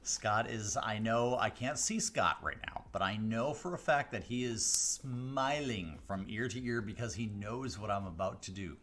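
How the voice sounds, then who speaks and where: Low-voiced chatty man on a close mic. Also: a bit bright, slight background noise. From Chicago area, US. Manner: rambling, with many fillers and restarts; deliberate pauses, slowing down for effect. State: voice deeper than usual today. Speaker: mentalist hosting a live stream. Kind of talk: casual chat answering viewer questions. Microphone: close studio microphone.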